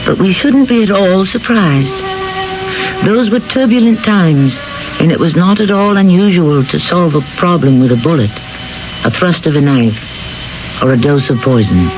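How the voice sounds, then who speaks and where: A man's voice: fairly high pitch, moderate pace. A man hosting and narrating a 1970s radio mystery drama, addressing listeners like an urbane storyteller. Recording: dull 1970s radio broadcast. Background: clear music.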